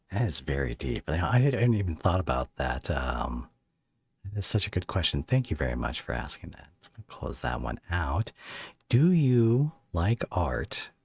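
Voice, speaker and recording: A man with a deep, slightly rough voice and animated pitch swings. He interviews in a casual, conversational way, haltingly thinking aloud, and sounds close and dry. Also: no echo. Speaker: podcast host, interviewer.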